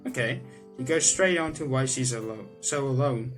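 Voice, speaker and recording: A young man hosting a gaming video, reading the game's text aloud and chatting about it with restarts and quick laughs. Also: mid-range voice, expressive, faint music behind, low-quality recording. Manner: chatty, self-correcting, breaks into laughs and reactions. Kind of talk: let's-play reading game text aloud with comments.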